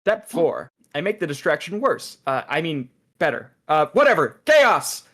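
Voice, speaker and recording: A man playing a glib, animated, high-voiced scheming agent. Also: moderate pace, exaggerated voice. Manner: glib, dramatic, stammers when cornered. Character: smug, scheming, chatty male agent in a comedy sci-fi. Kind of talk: comedy script table read.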